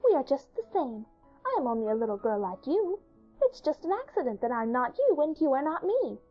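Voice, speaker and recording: A woman voicing a kind, dreamy little schoolgirl with a gentle, friendly manner in a dramatized storybook. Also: sits high, very animated, brisk, dull audio, soft background music, pitched above the performer's own voice. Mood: tender, earnest.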